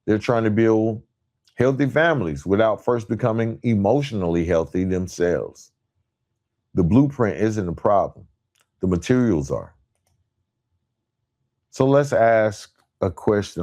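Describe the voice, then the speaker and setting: Low-voiced man giving motivational talk. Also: dark tone. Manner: emphatic, rhetorical, repeats parallel phrases. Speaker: podcast host. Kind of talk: motivational monologue, direct address to listeners.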